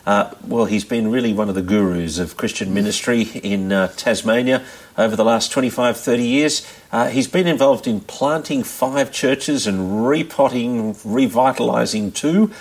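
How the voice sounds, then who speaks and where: A man's voice: mid-range voice, normal speed, few pauses. Chatty male interview host. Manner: friendly, chatty, with hesitant fillers. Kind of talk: conversational interview.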